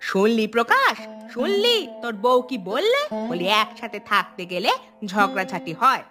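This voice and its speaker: A woman's voice: a little high, big pitch swings, moderate pace, over music. A woman voicing a scolding, scheming older village mother-in-law in a moral-tale cartoon. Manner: grumbling, scolding.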